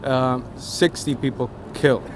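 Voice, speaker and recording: A man from Rico, answering questions on a city street at night in a casual, halting, plain-spoken way. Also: mid-range voice, fairly even intonation, slight background noise. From Puerto Rico. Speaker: passerby interviewee.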